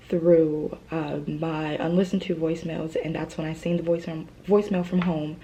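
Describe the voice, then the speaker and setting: A young woman vlogging in a soft, hesitant voice, grieving and close to tears, often dropping to a whisper between fillers. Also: lowish voice, dull audio. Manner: fillers and trailing pauses. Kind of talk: emotional personal vlog.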